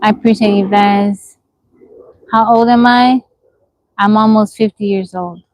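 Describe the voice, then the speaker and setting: A woman's voice: mid-range voice, steady tone, measured pace, darkish tone, a little hiss or hum, rough recording. A woman in her forties hosting a casual livestream from the woods, reading viewer comments and answering frankly. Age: 40s. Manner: frank, questioning, repeats herself. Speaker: livestream host. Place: outdoors in the woods.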